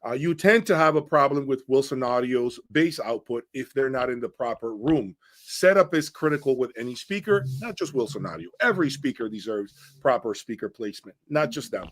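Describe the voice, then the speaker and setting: A man's voice: mid-range voice, lively intonation, fairly quick, few pauses. Blunt, emphatic male audio reviewer. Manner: blunt, emphatic, often checks with "okay?" and "right?". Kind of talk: informal opinionated product breakdown.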